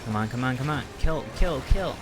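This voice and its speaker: A man's voice: medium pitch, lively intonation, brisk. Man muttering casual gameplay commentary over music. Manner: mutters and exclaims to himself while playing. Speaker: game streamer. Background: game music.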